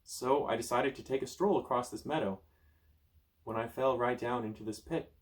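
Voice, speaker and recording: A man voicing a gentle, polite male winged horse while reading a storybook. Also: medium pitch, normal speed, lightly acted.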